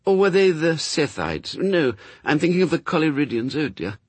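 A man's voice: fairly high pitch, very animated, normal speed, breathy edge, dry recording, voice pushed up. A man voicing a fussy, scholarly angel in a story, fretful, apologetic and forever correcting himself. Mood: fretful musing.

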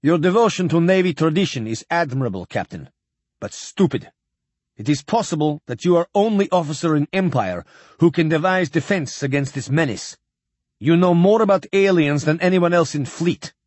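A man's voice: fairly high pitch, expressive, moderate pace, a little mellow, dry recording, pitched above the performer's own voice. A man playing a stern clipped fleet admiral. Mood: coldly commanding. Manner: clipped, drops articles, demanding. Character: stern older male fleet admiral, cold and commanding.

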